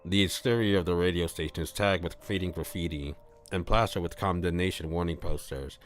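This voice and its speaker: A man's voice: low voice, a little fast. A man streaming a read-aloud of a visual novel, narrating and adding casual, playful asides. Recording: music playing behind the voice. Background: music.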